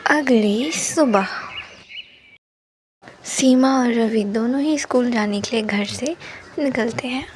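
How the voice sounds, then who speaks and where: A woman's voice: a little high, slow pace, pauses often, a little mellow, a little hiss or hum. A woman narrating a scripted children's moral story.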